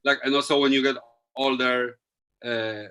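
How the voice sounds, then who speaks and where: A man's voice: medium pitch, steady tone, normal speed, pushed and bright, clean tone. A man with a Polish accent, a DJ guest, speaking loosely with many fillers while thinking aloud. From Poland. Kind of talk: casual interview chat.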